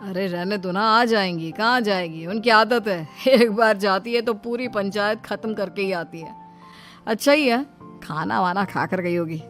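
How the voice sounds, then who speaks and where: A woman's voice: medium pitch, a little slow, a bit bright, soft background music, natural voice. A woman voicing a practical thirty-year-old son in a cartoon family drama, explaining in a casual, mildly exasperated manner. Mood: dismissive, weary.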